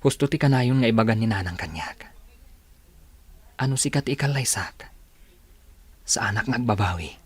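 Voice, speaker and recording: Husky, earnest Ilocano suitor. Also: male voice, fairly low pitch, lively intonation, normal speed, pauses often, dry recording. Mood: tender, pleading. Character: earnest young suitor, soft and persuasive. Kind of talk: Ilocano radio drama acting.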